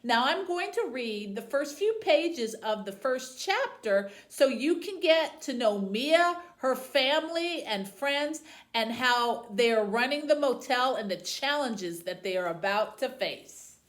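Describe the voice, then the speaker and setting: A woman presenting and reading aloud as a librarian for tweens, in a deliberate, presentational way. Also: high-pitched, lively intonation. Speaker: youth services librarian hosting a book program. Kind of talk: book talk and read-aloud for tweens.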